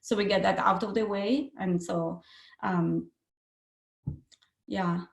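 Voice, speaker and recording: A woman from Italy with an Italian accent, a webinar panelist answering candidly with self-deprecating, halting restarts. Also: mid-range voice, fairly even intonation, vocal fry, reverberant.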